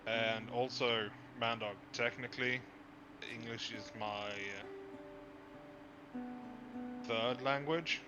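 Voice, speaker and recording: A man's voice: medium pitch, fairly even intonation, takes it slowly, pauses often. Joking, sleepy man on a muffled call. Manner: joking, rambling, stumbles over words. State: sleepy, awake early in the morning. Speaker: friend on a livestream call. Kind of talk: casual chat. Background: guitar music.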